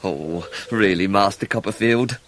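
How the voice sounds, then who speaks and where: A man's voice: lowish voice, unhurried, a bit bright, a little hiss or hum. An actor playing a falsely humble, scheming English clerk in a radio drama, obsequious and insinuating in his talk. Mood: oily, sly. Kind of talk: full-cast radio drama acting.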